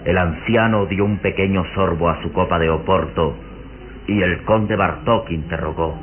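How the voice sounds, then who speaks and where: Male dramatic narrator, very low voice, muffled vintage radio recording. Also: moderate pace. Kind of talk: dramatic scripted narration for Mexican radio serial.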